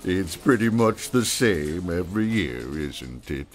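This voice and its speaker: A man voicing an earnest, emotional Santa in a storybook tale, speaking in a halting, dramatic way. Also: deep voice, sing-song, voice pushed down. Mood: businesslike, weary. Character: earnest, emotional Santa, bewildered new father.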